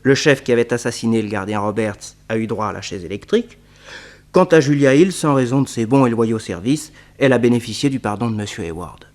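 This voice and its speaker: A man's voice: medium pitch, expressive, a little fast, a little mellow, close to an everyday voice. Detective's eager nephew, played by a man, reverberant. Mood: satisfied. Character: detective's loyal, eager young nephew, storyteller. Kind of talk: French radio serial acting, storytelling.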